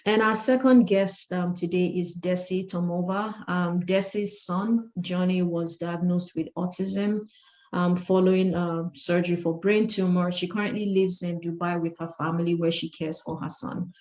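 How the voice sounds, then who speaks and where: Lower-voiced woman co-host, echoey lo-fi audio. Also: steady tone, darkish tone. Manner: measured, formal introducing with "um" fillers. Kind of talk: reading guest introductions.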